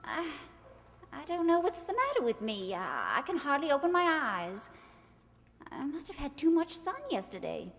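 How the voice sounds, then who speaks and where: A woman playing a flighty, high-strung society bride in a screwball radio comedy, theatrical and full of breathless exclamation. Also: wildly swooping pitch, normal speed, fairly bright tone, exaggerated voice. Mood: dazed. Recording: echoey hall stage recording.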